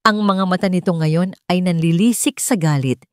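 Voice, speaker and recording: A woman's voice: lowish voice, normal speed, no room sound, clean studio sound. A woman narrating a dramatic scripted love story.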